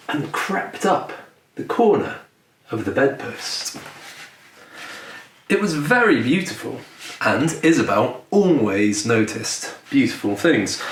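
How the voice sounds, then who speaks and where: A man who is a children's author reading his picture book aloud in a gentle storytelling manner with deliberate dramatic pauses. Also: mid-range voice. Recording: roomy echo, distant mic, faint noise.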